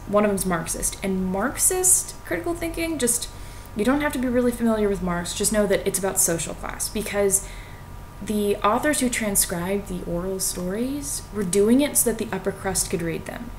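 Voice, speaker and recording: A young woman with a mid-pitched voice talks viewers through a subject in an informal, explanatory way. She is conversational and self-deprecating, slipping into colloquial asides. The home recording carries faint noise and a low rumble. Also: animated, moderate pace, a little mellow, low-quality recording. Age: young adult. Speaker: student making educational videos.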